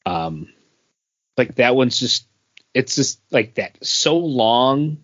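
A man's voice: mid-range voice, big pitch swings, takes it slowly, a bit bright, no echo, dull audio. A man co-hosting a podcast, talking casually about pop culture, hesitant and often circling back over his phrases. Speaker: podcast co-host.

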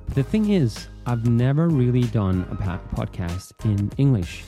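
A man's voice: mid-range voice, sing-song, normal speed, warm tone, soft background music. A man with a Danish accent that leans American, casually hosting a solo podcast in an informal, playful, rambling way. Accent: Danish with American leanings. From Denmark. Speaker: podcast host.

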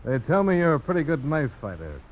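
An actor playing a rugged, resolute frontier adventurer in a 1950s radio drama, terse, blunt and self-assured. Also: male voice, a little high, very animated, brisk. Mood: cold challenge. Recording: dull, noisy old radio broadcast.

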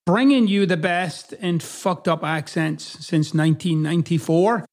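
A man's voice: a little high, a little slow. A middle-aged man from Scotland with a partly Americanized Scottish accent, hosting a podcast in a blunt, emphatic monologue full of swearing. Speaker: podcast host. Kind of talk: casual monologue.

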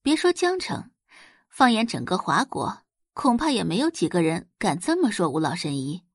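Female performer as middle-aged rich mother, doting, haughty, expressive pitch, close dry sound. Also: normal speed, husky, no echo, heavily acted. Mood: indignant, curious. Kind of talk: Chinese audio drama acting.

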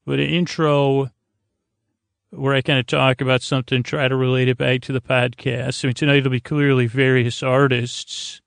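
A man with a creaky voice hosting a bedtime podcast in a meandering, halting monologue, stammering through tangents in a languid, lulling way. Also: mid-range voice, level tone, no echo. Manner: languid, drawn-out delivery meant to lull. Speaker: podcast host.

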